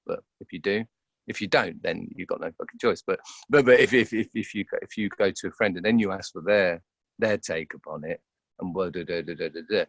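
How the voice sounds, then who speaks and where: A man's voice: low-pitched, no echo. A man giving conversational interview answers as an actor, hesitant and often repeating words as he thinks aloud.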